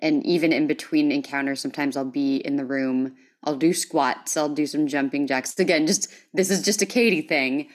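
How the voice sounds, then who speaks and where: A woman's voice: low-pitched, steady tone, moderate pace, hardly any pauses. A woman hosting a podcast, speaking casually and chattily in a conversational interview. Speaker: podcast host.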